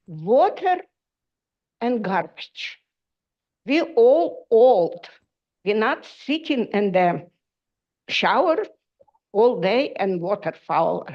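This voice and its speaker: An older woman with a Ukrainian accent, speaking halting broken English as a tenant at a public council meeting, pleading for help. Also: on the high side. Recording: muffled lo-fi meeting audio.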